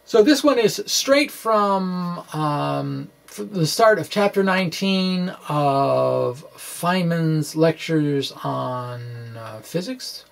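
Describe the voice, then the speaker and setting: A middle-aged man explaining science informally as a video blogger, in a halting, rambling way with wry, quirky asides. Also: on the high side, expressive, vocal fry. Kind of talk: informal science explainer, reading his blog aloud with asides. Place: small indoor home study with bookshelves. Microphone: microphone on a stand in front of him.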